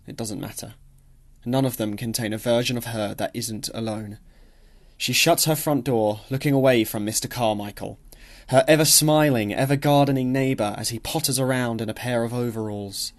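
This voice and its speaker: Man reading a literary audiobook. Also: medium pitch. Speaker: audiobook narrator. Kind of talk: expressive literary audiobook narration.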